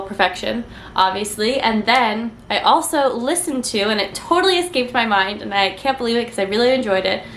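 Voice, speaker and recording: A woman's voice: fairly high pitch, roomy sound. A young woman vlogs about her recent reading, her voice stuffed up and nasal from congestion. She rambles and gushes, full of fillers and likes. The room around her rings a little, with a faint hum of noise. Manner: chatty, gushing, many ums and likes. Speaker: book vlogger. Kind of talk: casual book review chat.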